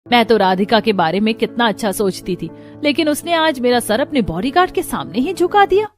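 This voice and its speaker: A woman's voice: on the high side, sing-song, brisk, faint music behind. A woman playing an imperious, protective, wealthy mother-in-law in a story, with a commanding manner. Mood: indignant.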